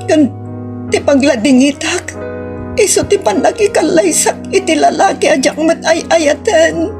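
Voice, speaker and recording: A woman's voice: very high-pitched, heavily acted. A woman acting in an Ilocano audio drama, playing emotional, sorrowful family women with an Ilocano accent. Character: sorrowful bride and her anxious, doting mother. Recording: music bed under the voice.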